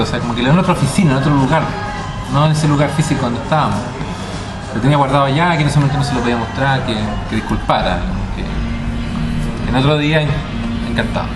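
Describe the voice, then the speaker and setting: A man's voice: mid-range voice, poor audio. Man telling a story, keeps restarting his sentences, big music playing under him. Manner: hesitant, full of restarts and repeated words. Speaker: book editor. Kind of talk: storytelling monologue.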